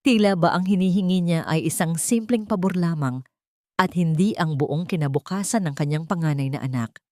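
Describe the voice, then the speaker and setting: A Filipino woman narrates a scripted love drama in a low voice. The delivery is dramatic storytelling, and the recording is dry and close. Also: moderate pace, darkish tone, no room sound.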